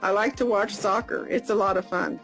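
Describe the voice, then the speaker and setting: An American woman in her fifties hosting a casual live video chat, upbeat and repeating her questions slowly and plainly. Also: medium pitch, fairly even intonation, creaky, a bit bright, soft background music, low-quality recording. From United States. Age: 50s.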